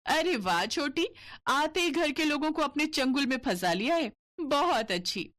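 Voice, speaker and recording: A haughty, scheming sister-in-law from a cartoon tale, acted out by a woman. She taunts and orders people about with jealous relish, her pitch raised and swinging widely. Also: expressive, a little slow, a bit bright. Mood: taunting, bossy. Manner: taunting, bossy, cackling.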